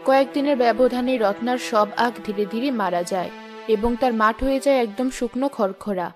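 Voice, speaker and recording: A woman's voice: a little high, fairly quick. A woman narrates a children's fairy-tale cartoon. Her reading is scripted storytelling, with faint music behind it.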